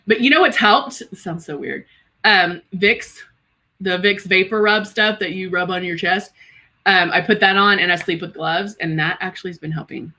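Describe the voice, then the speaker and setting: A woman's voice: fairly low pitch, pushed and bright. A woman of about fifty hosting a live makeup stream, chatting casually and exclaiming to viewers as she demonstrates and reads their comments. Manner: chatty and exclamatory, addressing viewers as girl and guys.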